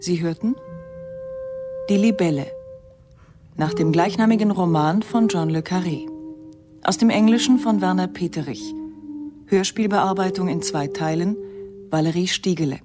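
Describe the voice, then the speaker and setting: A woman's voice: lowish voice, normal speed, long pauses. A woman announcing the closing credits of a radio play in a scripted style. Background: music.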